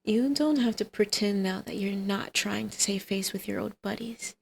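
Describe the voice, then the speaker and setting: A woman's voice: medium pitch, moderate pace, creaky, slight background noise, natural voice. A woman playing a flirty female villain and doting mother in an ASMR roleplay, with a teasing, coaxing, sardonic manner.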